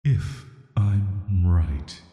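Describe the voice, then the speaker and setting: A man playing an ancient cursed villain in a sleep-aid audio roleplay, speaking in a soft, flat, soothing and intimate way, flirty yet dangerous. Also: sits low, extremely slow, muffled recording, faint music behind. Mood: gentle, intrigued. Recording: echoey, long reverb.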